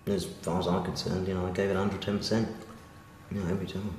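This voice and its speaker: Man acting very deep, echoey, deadpan boaster. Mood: deadpan, self-satisfied. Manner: halting, deadpan. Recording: echoey hall, distant and noisy.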